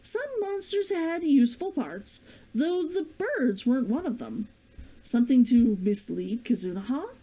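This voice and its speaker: A woman streamer and author with an expressive way of talking, chatting to viewers and reading a story aloud. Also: fairly high pitch, a little slow, rough recording. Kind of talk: chatty greeting, then storybook read-aloud.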